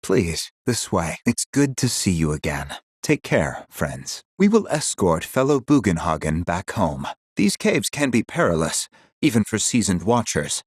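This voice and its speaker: An actor playing a solemn, devout village watcher in a video game, courteous, with a husky voice. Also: male voice, deep voice, very animated, measured pace, dry recording, studio quality, exaggerated voice.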